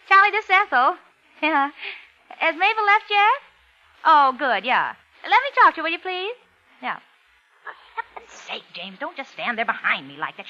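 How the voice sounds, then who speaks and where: Fussy scolding wife, vintage radio play: high, piercing, exasperated, dull old recording. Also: female voice, pushed and bright, exaggerated voice. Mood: fussy, flustered. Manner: scolding, fretful. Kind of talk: 1940s radio drama acting.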